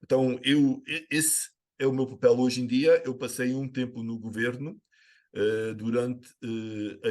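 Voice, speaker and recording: A middle-aged American man speaking Portuguese with a slight Brazilian tinge, answering as a guest expert over a remote call, hesitant with many filler sounds and restarts. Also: fairly low pitch. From United States. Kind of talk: conversational interview answers. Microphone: remote call link.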